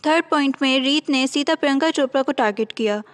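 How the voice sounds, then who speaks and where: A woman's voice: high-pitched, fairly quick, long reverb tail. A woman with an Indian accent presenting scripted entertainment news, mixing Hindi with frequent English words. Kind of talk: scripted celebrity gossip narration.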